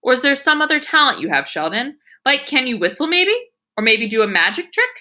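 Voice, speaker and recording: A woman's voice: sits high, sing-song, brisk, bright, forceful tone, voice pushed up, heavily acted. A woman voicing a patient, weary male schoolteacher in a storybook, coaxing tactfully with hesitant questions.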